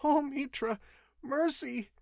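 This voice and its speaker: A man voicing a cowardly, frightened clerk in an audiobook, shrill, pleading and stammering with nerves. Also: very animated, normal speed, dry recording, clean studio sound. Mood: begging. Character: whimpering terrified clerk, a weak cowardly man.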